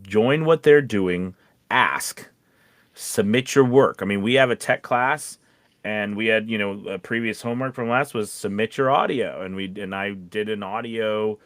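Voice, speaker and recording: A man's voice: fairly low pitch, unhurried, no room sound. A man chatting casually on a podcast as a teacher, rambling and often restarting his thoughts. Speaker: voiceover teacher.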